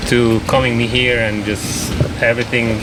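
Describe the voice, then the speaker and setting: A man's voice: mid-range voice, little pitch movement, moderate pace, noisy background. A middle-aged man from Poland with a Polish accent, telling his story as a guest in a casual podcast chat and often repeating words while he searches for them. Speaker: guest artist.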